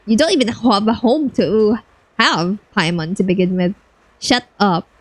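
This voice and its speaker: A woman's voice: medium pitch, a little slow, slight background noise. A woman giving casual, blunt and slangy reaction commentary as she plays a video game. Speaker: streamer playing a video game.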